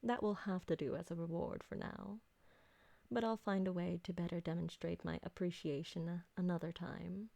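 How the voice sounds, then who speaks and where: Woman acting a creaky-voiced, refined vampire noblewoman. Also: lowish voice, steady tone, dark tone. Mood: tender, grateful. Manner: formal, refined, deliberate diction. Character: elegant possessive female vampire noble, doting on her servant. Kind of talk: scripted roleplay ASMR audio drama.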